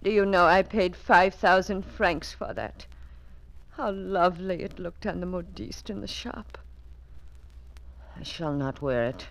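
A woman's voice: fairly low pitch, measured pace, rough recording. An actress playing a vain, aging Parisian widow who grieves her lost beauty, in theatrical old radio-drama style. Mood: bitter. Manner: theatrical, breathy sighs, swings between despair and command. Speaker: lead actress.

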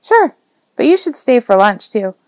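A woman voicing an eager, proud nine-year-old boy in an audiobook, earnest and excitable. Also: fairly high pitch, measured pace, dry recording, studio quality, voice pushed up. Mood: cheerful. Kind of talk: audiobook character dialogue.

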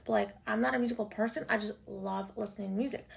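Raspy young woman chatting on a podcast. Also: steady tone, fast. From Canada. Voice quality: low raspy voice. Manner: chatty, swears freely, lots of "like" and "y'all". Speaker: podcast host. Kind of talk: casual solo podcast chat. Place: home room in Toronto.

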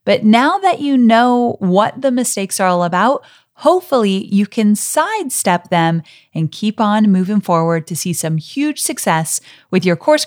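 A middle-aged woman hosting a solo podcast, talking straight to her listeners in an encouraging, friendly way. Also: medium pitch. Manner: warm, encouraging, direct address to listeners. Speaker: podcast host. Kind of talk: solo podcast teaching talk.

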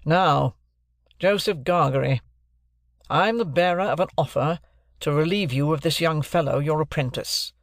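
A man reads as a brusque middle-aged lawyer, stern and exacting, laying out terms in clipped, commanding phrases. The voice sits well above the reader's own and comes out louder and more pressing, with a dry, close sound and no room around it. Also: on the high side, normal speed, no echo, voice pushed up, natural voice. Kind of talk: audiobook character voice.

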